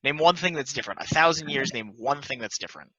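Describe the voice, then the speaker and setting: Man playing a sharp, brash, foul-mouthed buddy. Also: medium pitch, quick pace, husky, no room sound, muffled recording. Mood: exasperated. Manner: combative, slangy. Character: brash, foul-mouthed friend who argues and streams. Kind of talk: casual banter in a play table read.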